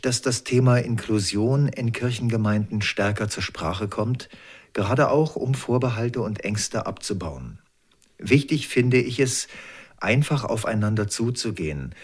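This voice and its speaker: Man reading a news item evenly. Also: on the low side. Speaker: news reader. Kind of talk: scripted news narration.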